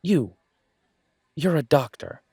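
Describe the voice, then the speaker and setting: Man acting a husky, wary, sardonic mechanic. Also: fairly high pitch, expressive, slow, darkish tone, dry recording, clean studio sound, full character voice. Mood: incredulous. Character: wary, sardonic male werewolf mechanic, blunt but polite. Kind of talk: audio roleplay drama dialogue.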